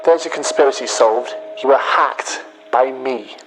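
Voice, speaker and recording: A man playing a bitter hacker in a sci-fi audio drama, speaking deliberately with pauses as he accuses a former friend. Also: mid-range voice, close to an everyday voice, rough recording. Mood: confessional, deliberate. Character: bitter male hacker confessing revenge to his betrayed friend.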